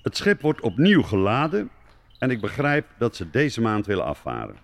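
A man's voice: mid-range voice, huge pitch swings, normal speed. An actor playing a wary, dutiful statesman in a radio drama, formal and terse with his prince. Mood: formal, uneasy.

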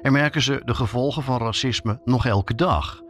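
A man's voice: mid-range voice, normal speed, fairly bright tone, soft background music. A man narrating a scripted documentary podcast as its reporter.

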